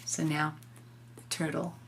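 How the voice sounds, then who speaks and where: An older woman, a grandmother, reading a picture book aloud to a child in a gentle, explanatory way with informal asides. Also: low voice, level tone, a little slow, slight background noise.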